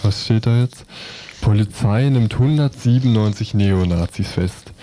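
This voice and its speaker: A man's voice: on the low side, moderate pace, dark tone, a little hiss or hum. A man co-presenting a radio press review in staged chatty dialogue, also reading newspaper items aloud.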